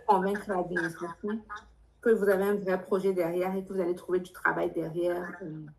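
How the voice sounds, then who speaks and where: Low-pitched woman advising, directive. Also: flat delivery, moderate pace, darkish tone. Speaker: adviser leading a workshop for international students. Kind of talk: live advisory Q&A, spontaneous spoken explanation.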